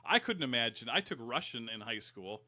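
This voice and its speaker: A man's voice: mid-range voice, big pitch swings, normal speed, bright, forceful tone, dry recording. A man from Michigan's Upper Peninsula, a fire service educator, talking in a folksy, rambling, self-deprecating way. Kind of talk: casual interview.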